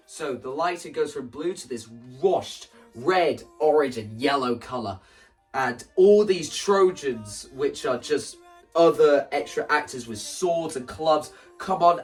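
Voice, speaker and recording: A man gives a casual, animated walkthrough of staging ideas. He sounds some distance from the microphone, and music plays underneath as he talks. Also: fairly high pitch, a little slow. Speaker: video creator explaining stage play ideas. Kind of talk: casual spoken walkthrough to camera.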